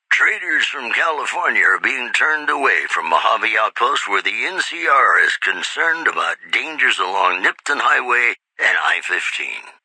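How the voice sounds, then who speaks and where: Bright, polished radio newsreader. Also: male voice, on the low side, breathy edge, no echo, heavily acted, low-quality recording. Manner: polished, measured announcer delivery. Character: suave, smooth radio DJ reading wasteland news. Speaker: radio news host. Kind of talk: scripted radio news bulletin.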